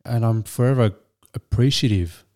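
A man, a Vietnamese speaker, telling his story as a guest in a measured, thoughtful way with frequent restarts. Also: mid-range voice, darkish tone. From Australia. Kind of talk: reflective storytelling.

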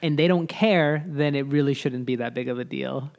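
A man's voice: mid-range voice, moderate pace, creaky. A young man from Mexico, casually chatting as a podcast co-host, telling stories with lots of fillers. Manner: relaxed storytelling with frequent "like" fillers. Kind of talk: casual chat.

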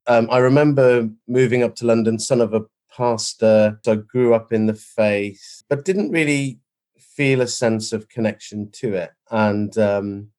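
A man in his forties with a slight Welsh accent, a former pastor, telling his story as a podcast guest in a self-deprecating, unhurried way. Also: fairly low pitch, a little mellow. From Wales. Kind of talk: casual storytelling.

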